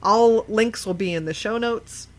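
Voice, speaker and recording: Female podcast host, conversational, emphatic, unfiltered and foul-mouthed, mid-pitched voice. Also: measured pace. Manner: salty, swearing, emphatic. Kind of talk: casual podcast chat.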